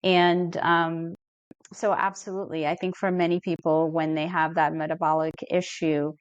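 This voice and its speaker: A middle-aged woman from Ethiopia, a therapist speaking as a podcast guest in an earnest, reflective and measured way. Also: low voice, flat delivery, clean tone, dry recording, highs cut off. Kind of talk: podcast interview.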